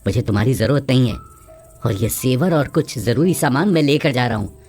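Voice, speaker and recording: Woman acting callous husband, cartoon story, blunt, low pitch, backing music. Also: lively intonation, a little fast, darkish tone. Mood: angry, cold. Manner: blunt, scolding. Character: selfish, callous husband demanding a son.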